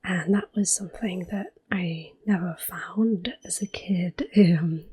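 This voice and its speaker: A woman in her thirties giving soft-spoken ASMR gameplay commentary, often slipping into a whisper, close to the mic. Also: on the low side, slow pace, husky. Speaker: ASMR gaming channel host.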